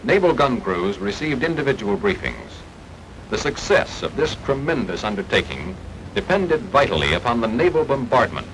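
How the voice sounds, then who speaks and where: A man's voice: mid-range voice, fairly bright tone. An American man narrating a 1940s wartime propaganda film from a script, in a formal, declamatory and emphatic way. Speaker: newsreel-style documentary narrator. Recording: noisy archival 1940s film soundtrack.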